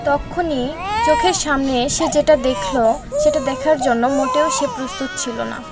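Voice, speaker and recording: A woman narrates a cartoon tale in a high voice. Music plays clearly behind her. Her delivery is scripted storytelling. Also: animated, normal speed.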